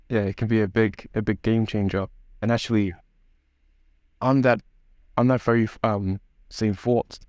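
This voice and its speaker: Male interviewer, lowish and fairly level pitch, tentative roundabout phrasing, conversational podcast delivery. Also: no echo, clean studio sound.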